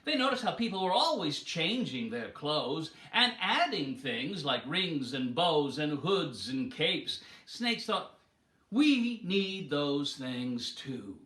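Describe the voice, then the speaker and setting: A man with a high, bright voice tells a folktale like a stage performer. He takes his time and shapes each phrase dramatically. The sound is roomy and echoing. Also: big pitch swings. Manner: dramatic, deliberate delivery with acted voices. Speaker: storyteller. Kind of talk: theatrical storytelling of an animal folktale.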